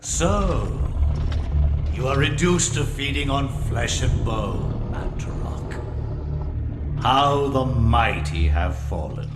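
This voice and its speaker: An actor playing a menacing undead sorcerer in a video game, gloating in theatrical, archaic speech. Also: male voice, mid-range voice, lively intonation, slow pace, heavy background noise. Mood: gloating, contemptuous. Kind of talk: dramatic video game villain acting. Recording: echoing hall reverb under loud music.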